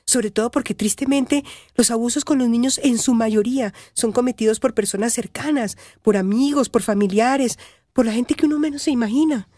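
A woman acting a caring Colombian adviser, fairly high voice. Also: moderate pace, warm tone, no room sound, studio quality, close to an everyday voice. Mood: reassuring, serious. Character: caring, level-headed woman friend who advises mothers. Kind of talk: radio soap opera acting.

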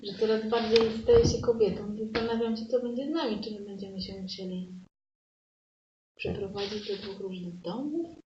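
A woman's voice: mid-range voice, normal speed, far from the mic, natural voice. A woman playing a curious, naive country girl, questioning and plain-spoken, in an improvised audio play. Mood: wondering. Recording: roomy, dull, noisy live stage recording.

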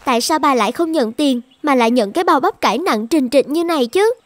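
High-pitched grumbling young granddaughter. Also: female voice, expressive, quick pace, no echo, soft background music. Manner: complaining, questioning. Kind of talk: animated folktale dubbing.

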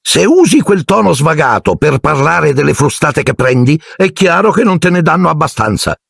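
A man reading aloud and voicing a domineering middle-aged aunt in a shrill, barking falsetto. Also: very animated, normal speed, creaky, fairly bright tone, husky, no room sound, clean studio sound, full character voice. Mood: scolding.

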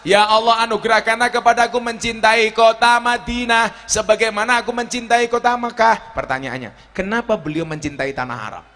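Bright, lively Javanese-accented preacher. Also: male voice, brisk, hardly any pauses. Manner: joking, switches between shouting and low asides; walks the stage with a cane. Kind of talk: humorous outdoor sermon. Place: outdoor stage before a large crowd. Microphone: handheld mic.

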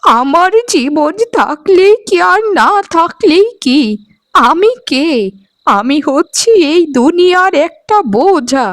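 A woman's voice: sits high, expressive, slow, fairly bright tone, no room sound, clean studio sound, full character voice. A woman playing a bitter, self-pitying, ailing old mother-in-law in a melodramatic cartoon, drawing out her words. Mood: self-pitying, tearful.

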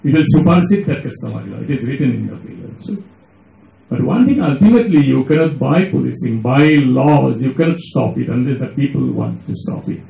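A man's voice: medium pitch, lively intonation, brisk, vocal fry, warm tone. A man with an Indian accent addresses an audience as a panelist, emphatic and insistent. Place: auditorium, seated at panel table. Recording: echoey auditorium recording.

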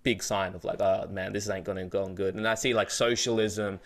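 A man's voice: fairly low pitch, steady tone, fairly quick. A man in his twenties with an Australian accent chatting casually as a podcast host, informal and sweary, close to a studio mic. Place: small home studio room. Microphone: close studio microphone, headphones.

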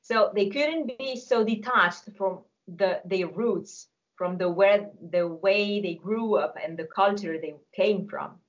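A woman's voice: mid-range voice, takes it slowly, hardly any pauses. Italian-accented woman on a muffled call. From Italy. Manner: thoughtful, explains carefully with some hesitation. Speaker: singing teacher. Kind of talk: casual video call discussion. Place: home music room with drums. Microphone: video call. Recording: dull lo-fi call audio.

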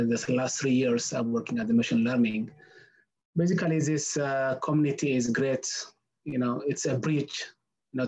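A man from the group speaking haltingly, searching for words, over a video call. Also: medium pitch, a little mellow, husky, low-quality recording. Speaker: community member. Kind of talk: casual chat.

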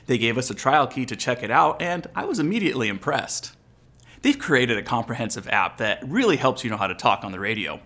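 A man's voice: mid-range voice, very animated, fairly quick, fairly bright tone. A man in his forties with an American accent, explaining and coaching as a video host in a casual instructional style. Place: furnished living room, indoors.

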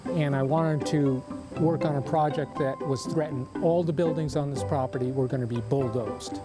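A man in his sixties who owns a bookshop, speaking in a documentary interview on a lapel mic and pausing often with fillers. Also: fairly high pitch, over loud music. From United States. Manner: hesitant, many uh fillers. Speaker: bookshop owner. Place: wooden barn bookshop, indoors.

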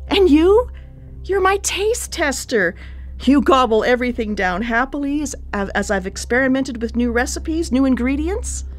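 An actress in a radio play playing a controlling, composed cannibal cook who dotes menacingly on family. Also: female voice, high voice, big pitch swings, normal speed, soft background music. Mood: fervent, controlling. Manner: composed, coy, domineering. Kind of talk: live radio play acting.

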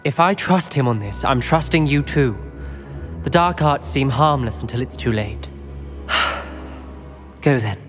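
An actor playing a stubborn, principled teenage wizard in a game cutscene, earnest and clipped. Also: male voice, mid-range voice, animated, slow, pauses often, a bit bright. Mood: wary. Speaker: game character. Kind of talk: video game cutscene acting. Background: music.

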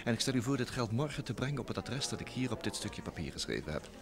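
An actor playing a smooth, talkative young private secretary and blackmailer, theatrically polite, in vintage radio drama style. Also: male voice, medium pitch, sing-song, fast. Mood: slyly calculating. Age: young adult. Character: smooth, sly thirty-year-old New York private secretary, a blackmailer. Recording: old radio play recording with music.

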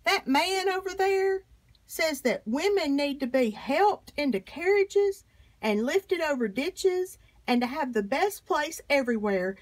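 A woman's voice: high voice, expressive, unhurried, voice pushed up. Woman reading as an indignant, emphatic woman orator. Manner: emphatic, declamatory. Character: indignant, proud escaped-slave woman orator. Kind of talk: storybook character speech.

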